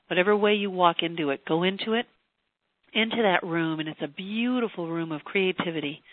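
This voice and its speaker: An older teleseminar host and voice coach who chats warmly with listeners, then leads a slow, soothing guided relaxation. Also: mid-range voice, dry recording. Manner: effusive and chatty, turning slow and soothing for a trance induction. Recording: tinny phone line teleseminar, dry.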